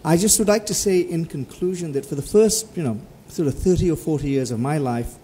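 A man in his forties, co-host and editor, giving measured, courteous closing remarks at a table mic in a conference hall. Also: a little high, mellow, a little hiss or hum.

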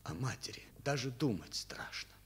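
Anguished, halting young schoolboy. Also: male voice, mid-range voice, very animated, a bit bright, breathy edge, exaggerated voice. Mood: desperate. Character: distraught, ashamed schoolboy confessing to theft accomplice role. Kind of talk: Soviet radio drama acting.

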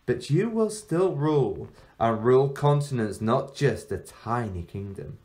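A man's voice: mid-range voice, expressive, unhurried, darkish tone. A man reading a storybook, voicing an ancient enchantress who looks like a young girl, imperious and falsely innocent, drawing out her words. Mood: commanding.

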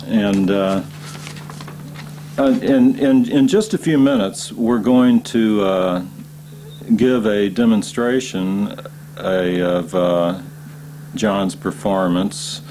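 Guy interviewer, super slow and halting, very low voice, lots of uhs. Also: warm tone, slight background noise. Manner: halting, many fillers. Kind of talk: interview question.

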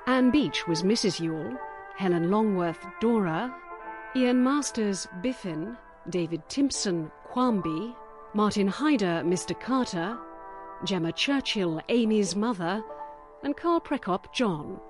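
A woman's voice: mid-range voice, animated, slow. A woman reading a scripted broadcast announcement as a radio announcer. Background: music.

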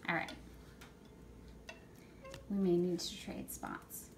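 A woman's voice: fairly low pitch, slow, vocal fry, noisy background. A woman in her thirties chatting playfully in a home kitchen, filling her talk with "you know" and laughs. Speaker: cooking helper and mock guest. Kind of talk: playful casual kitchen chat. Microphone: camera on counter a few feet away. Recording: echoey kitchen with clatter.

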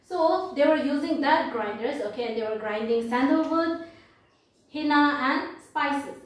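High-voiced young Indian woman teaching, very echoey. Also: expressive. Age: young adult. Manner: halting, repetitive explanations. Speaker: English teacher. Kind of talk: classroom lesson, explaining and reading a poem aloud. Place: indoor classroom at a blackboard. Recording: very echoey room, distant microphone.

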